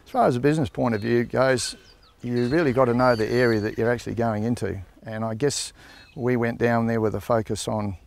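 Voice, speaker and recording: A man in his sixties with an Australian accent, a grain grower giving halting, unhurried interview answers outdoors on a farm. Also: medium pitch, fairly even intonation, vocal fry, a little mellow, slight background noise. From South Australia. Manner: halting, unhurried, frequent fillers.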